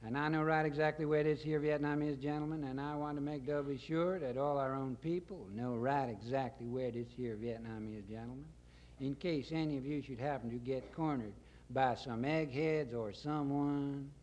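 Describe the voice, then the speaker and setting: A pompous Texan president as a male poet puts him on, folksy and self-assured. He orates like a homespun warmonger addressing his gentlemen, in the manner of satirical spoken verse. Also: medium pitch, steady tone, moderate pace, highs cut off, slight background noise, close to an everyday voice. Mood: grandly confident. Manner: folksy, oratorical, pompous speechmaking. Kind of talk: satirical poetry reading.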